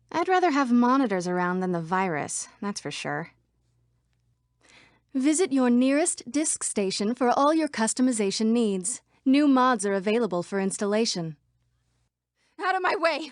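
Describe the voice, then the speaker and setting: A woman's voice: a little high, dry recording, clean studio sound. An actress voicing female computer program citizens in a scripted video game. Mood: calm official announcement, then panicked screaming. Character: female computer program citizens, by turns frightened, defiant or official.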